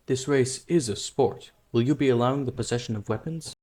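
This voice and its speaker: A man's voice: mid-range voice, a little fast, mellow, lightly acted. A man playing a challenging press reporter in an amateur manga dub, asking pointed, formal questions.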